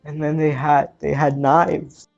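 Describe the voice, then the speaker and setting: A man's voice: on the high side, little pitch movement, a little slow, vocal fry, darkish tone, lo-fi sound, faint music behind. A man voicing a distraught, guilt-ridden teenage orphan girl in a tabletop game, stammering and repeating words. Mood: nervous, recounting.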